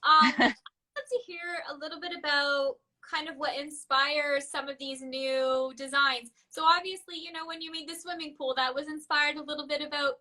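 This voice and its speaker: A woman hosting a casual interview, with a very high voice, effusive and laughing often. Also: steady tone, moderate pace, sharp tone, distant mic. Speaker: host.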